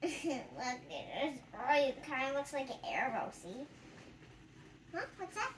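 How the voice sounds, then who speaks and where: A child reading a picture book aloud, adding chatty, giggly, excitable commentary. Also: sits high, slow pace. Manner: giggly, excitable, acts out characters' lines. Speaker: storytime reader. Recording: roomy, distant mic, background noise.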